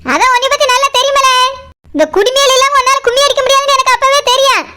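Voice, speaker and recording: A woman voicing a sharp-tongued village woman in a cartoon, scolding and mocking, with a Kanyakumari Tamil accent and a pitched-up voice. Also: quick pace, background noise.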